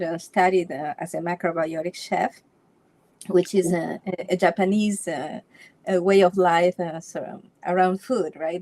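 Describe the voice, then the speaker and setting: Ecuadorian woman chatting in an interview, restarts a lot, laughs softly between thoughts. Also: on the low side. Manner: storytelling with frequent restarts and light laughs. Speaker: Feng Shui consultant, interview guest. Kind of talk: casual interview chat.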